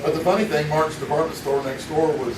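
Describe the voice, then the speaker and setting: A man's voice: a little high, fairly even intonation, brisk, lightly acted. A man playing a chatty, laughing old friend. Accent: Kentucky. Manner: chatty storyteller, laughs easily. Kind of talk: live old-time radio drama. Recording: echoey stage audio from far off, audible noise.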